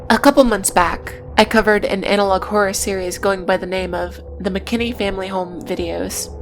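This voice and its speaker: A woman's voice: medium pitch, fairly even intonation, moderate pace. Wry woman narrating over music. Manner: wry, sardonic asides. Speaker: video essay host. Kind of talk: scripted narration with casual asides.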